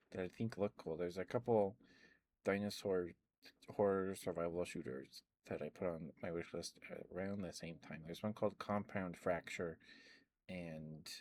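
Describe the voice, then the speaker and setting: A man rambling in a soft, meandering ASMR chat, with stammered restarts and fillers, close to the microphone. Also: low voice, unhurried, no room sound. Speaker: ASMR streamer.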